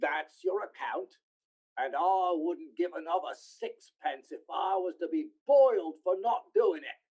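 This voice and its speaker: An actor playing an old, miserly junk dealer in a radio play, sly and theatrical. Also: male voice, sits high, lively intonation, measured pace, darkish tone, slightly rough, full character voice. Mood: haggling. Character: old, shabby, miserly male rag-and-bone dealer. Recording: muffled, dull audio.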